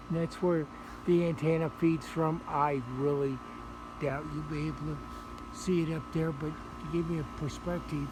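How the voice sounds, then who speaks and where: Halting man outdoors, slightly high voice, noisy. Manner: halting, unscripted, repeats words. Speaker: hobbyist video presenter. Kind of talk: casual outdoor show-and-tell update. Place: outdoors in a residential yard. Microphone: handheld camera microphone. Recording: noisy outdoor handheld camera audio.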